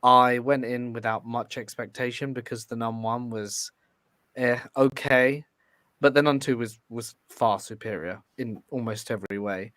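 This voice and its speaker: A man's voice: medium pitch, steady tone, unhurried, a bit bright, dry recording. A man speaking as a panel guest in a casual debate, politely explaining his opinions. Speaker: guest panelist, podcast host. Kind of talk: casual debate about horror films.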